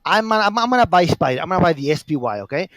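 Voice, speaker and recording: A man's voice: fairly high pitch, very animated, very fast, fairly bright tone, dry recording. A man in his late thirties talks in a slightly raised voice, rambling with many fillers and blunt swearing. The sound is dry and close, with little room around it. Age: 30s. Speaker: guest caller. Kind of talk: casual debate on a stream call.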